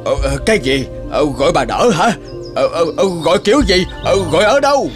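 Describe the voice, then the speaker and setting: A man voicing a gruff male lion in a cartoon, blustering and stammering. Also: a little high, very animated, a little fast. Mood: flustered. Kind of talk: cartoon voice acting. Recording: music behind.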